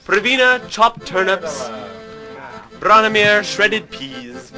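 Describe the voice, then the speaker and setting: Male comic narrator: high, very animated, mock-grand storybook delivery with clear music behind. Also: takes it slowly. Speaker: narrator and show host.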